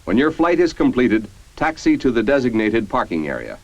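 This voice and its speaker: An American man reading scripted 1950s military training-film narration in a formal, measured, instructional manner. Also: mid-range voice, very animated, no room sound. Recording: dull, band-limited 1950s film soundtrack.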